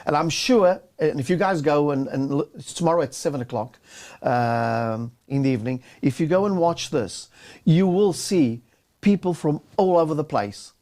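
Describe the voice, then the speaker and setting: A man with a fairly high voice co-hosts a relaxed business talk. He speaks in halting bursts, often restarting and repeating words before getting his point out. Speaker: co-host. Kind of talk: casual business chat.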